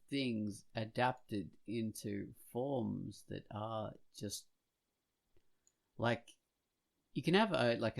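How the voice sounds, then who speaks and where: A man chatting casually as a podcast host, rambling and halting as he goes, growing animated when enthused. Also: lowish voice, gravelly. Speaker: podcast host and comic artist.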